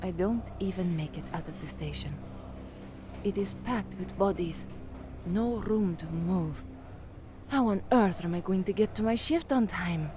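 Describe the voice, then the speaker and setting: A woman's voice: fairly low pitch, a little slow, background noise, natural voice. An actress playing an anxious, frank young working-class woman, a trainee newsreader, in an audio drama with first-person narration. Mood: wry narration.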